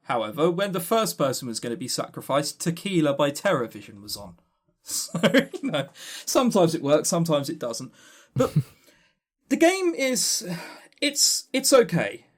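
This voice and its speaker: A man talks casually as a podcast co-host with strongly varied intonation. He is blunt, scornful and quick to swear, stammering as he drives his opinions home. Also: fairly high pitch, big pitch swings. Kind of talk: casual opinionated game review chat.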